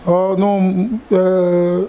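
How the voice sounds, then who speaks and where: Man, Congolese French accent, interview guest, mellow, halting and repetitive, slightly high. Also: monotone. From Democratic Republic of the Congo. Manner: halting, repeats words, slow measured delivery. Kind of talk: casual interview chat.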